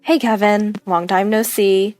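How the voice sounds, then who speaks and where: A woman voicing a polite, peacemaking housewife in a story, gentle and conciliatory. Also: mid-range voice, fairly even intonation, unhurried, no room sound, faint music behind, natural voice. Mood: warm, polite. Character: polite, peacemaking older sister-in-law, a housewife.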